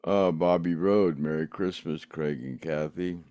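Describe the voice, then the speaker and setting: A man's voice: deep voice, measured pace, warm tone, no echo. An older man, chatting casually as a podcast host reading out viewer comments, rambling with frequent laughs and restarts. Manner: rambling, laughs often, many fillers and restarts. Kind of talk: casual chat.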